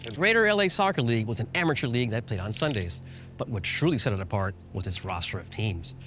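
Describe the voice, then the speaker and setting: A man's voice: mid-range voice, animated, quick pace, a bit bright, faint music behind. A man hosting a documentary, moving between casual interviewing and narration. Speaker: host and interviewer.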